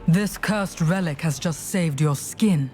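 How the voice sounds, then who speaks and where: Resolute, dry-witted game hero. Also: female voice, low-pitched, takes it slowly, darkish tone, faint music behind. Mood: defiant. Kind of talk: video game dialogue acting.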